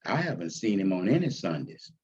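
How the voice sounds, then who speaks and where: A fatherly reverend with a kind but chiding manner, read by a male storyteller. His voice is husky and moves expressively in pitch. The recording is slightly dull. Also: very animated, fairly quick, darkish tone, full character voice. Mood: wry. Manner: fatherly, preacherly. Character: kindly male pastor, fatherly, gently chiding.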